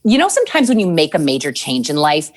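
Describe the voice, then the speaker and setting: Low-voiced woman in her forties, lively storyteller. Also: fast. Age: 40s. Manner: lively storytelling with acted-out quotes, frequent laughs. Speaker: TV news anchor, podcast guest. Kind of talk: casual interview conversation.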